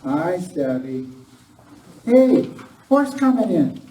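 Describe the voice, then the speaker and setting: Man acting a mellow, curious outlaw kid. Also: sits high, very animated, slow pace, exaggerated voice. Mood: curious, smitten. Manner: inquisitive, folksy. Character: curious, naive young male outlaw kid. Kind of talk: Western radio play acting. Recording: echoey hall, distant mic.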